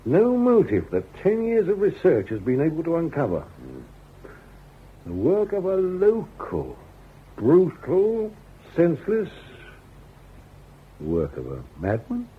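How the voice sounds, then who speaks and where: An actor playing a dogged, brusque police inspector in a radio crime drama, clipped and probing in manner. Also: male voice, fairly high pitch, huge pitch swings, takes it slowly, pauses often, breathy edge, exaggerated voice. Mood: musing. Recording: muffled radio drama recording, faint noise.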